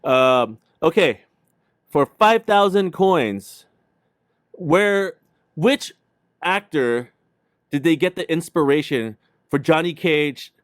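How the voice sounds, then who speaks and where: A man's voice: fairly high pitch, animated, slow pace, long pauses, dry recording, studio quality. A man co-hosting a casual livestream, telling stories in a slangy, rambling way. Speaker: podcast co-host.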